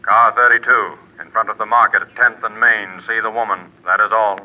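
Man doing a lowish, clipped police dispatcher. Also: fairly quick, natural voice. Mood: official. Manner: clipped, official monotone. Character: flat, terse police radio dispatcher. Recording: dull old radio broadcast.

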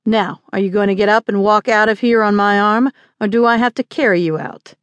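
A woman's voice: mid-range voice, brisk, clean tone, dry recording, lo-fi sound, close to an everyday voice. A woman voicing a stern, commanding male frontier marshal in audiobook dialogue, firm and irritable. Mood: stern, ordering.

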